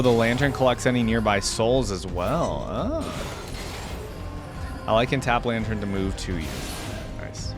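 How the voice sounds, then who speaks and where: A man giving casual reaction commentary, thinking aloud in a tentative way and sometimes reading text out. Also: lowish voice. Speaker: reaction video host.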